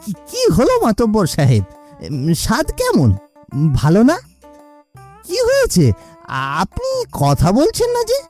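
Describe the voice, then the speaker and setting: A woman acts a nervous, deferential villager in a cartoon. The voice is fairly high and swings wildly, delivered slowly with stammers and anxious questioning. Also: huge pitch swings, darkish tone, soft background music. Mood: alarmed. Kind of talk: cartoon voice acting.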